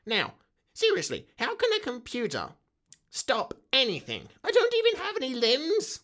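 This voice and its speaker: A sarcastic talking computer in a radio drama, bright and piercing, with pitch that leaps all over. The sidekick is cheeky and theatrical, tossing off deadpan jibes. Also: male voice, normal speed, heavily acted. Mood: indignant, deadpan.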